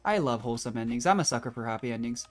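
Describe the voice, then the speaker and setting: A woman giving relaxed, musing, casual commentary as she streams a game. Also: very deep voice, very fast. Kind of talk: casual reactive gameplay commentary. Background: game music and dialogue.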